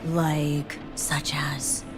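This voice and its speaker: An actress playing a wary, defiant, foul-mouthed young mercenary in a video game, speaking in a clipped, streetwise, sardonic way. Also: female voice, low-pitched, level tone, very slow, low-quality recording. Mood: wary, dismissive. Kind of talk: video game dialogue acting. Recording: music behind the voice.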